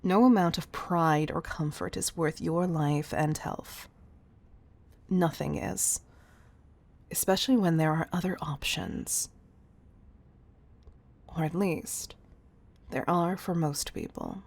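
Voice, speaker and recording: A woman's voice: low voice, fairly even intonation, takes it slowly, creaky, long pauses, full character voice. A woman playing a condescending billionaire CEO who gives commanding tough love in an intimate audio roleplay. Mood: condescending pity.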